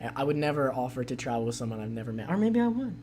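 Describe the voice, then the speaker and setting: An American man with a hoarse voice, hosting a casual podcast and chatting loosely while reading out viewer comments. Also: medium pitch, very animated, rapid-fire, darkish tone, a little hiss or hum. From United States. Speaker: podcast host.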